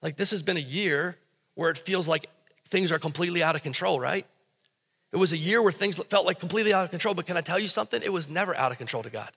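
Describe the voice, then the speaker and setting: A man with an American accent delivers a sermon in an emphatic, conversational way. His voice sits a little high and has a bright, forceful edge. It rings with the long reverb of a large hall. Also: fast, breathy edge. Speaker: pastor. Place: stage of a church auditorium, indoors.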